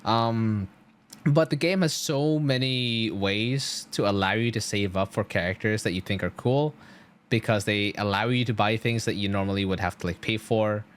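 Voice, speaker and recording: A man's voice: fairly low pitch, normal speed. A man streaming a game, chatting casually to viewers in an enthusiastic, slangy, rambling way.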